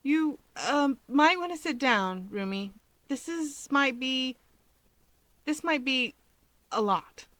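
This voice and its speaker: Woman acting a shy, halting young woman. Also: high voice, animated, fairly bright tone, dry recording, voice pushed up, full character voice. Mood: nervous. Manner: hesitant, halting.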